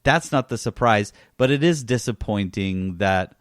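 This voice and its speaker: A man's voice: lowish voice, expressive, unhurried, no echo, studio quality. A man co-hosting a podcast, chatting casually about gaming news and thinking aloud in an informal, emphatic way. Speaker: podcast co-host.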